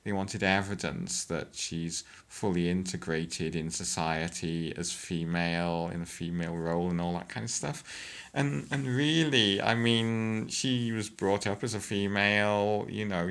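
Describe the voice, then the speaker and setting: A woman, chatting hesitantly in a casual vlog update, with many fillers and frequent throat-clearing. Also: steady tone, unhurried. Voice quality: deep voice. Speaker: vlogger.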